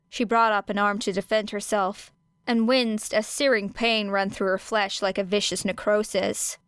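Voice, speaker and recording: Clear-voiced woman narrating a story. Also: medium pitch, no room sound, clean studio sound. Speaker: podfic reader. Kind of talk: audiobook narration of fan fiction.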